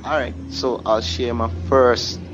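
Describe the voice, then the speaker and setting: A man's voice: medium pitch, little pitch movement, measured pace, music in the background. A man, a DJ guest telling casual anecdotes in an interview and acting out the dialogue. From Caribbean. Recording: muffled, clearly noisy room recording.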